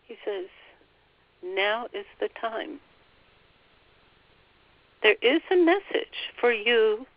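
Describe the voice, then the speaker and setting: A woman leading a slow guided meditation, softly passing on a spiritual guide's words phrase by phrase. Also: on the high side, dry recording. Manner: soft, relaying every phrase with "he says". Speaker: channeler relaying a spiritual guide. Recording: dull, dry lo-fi recording.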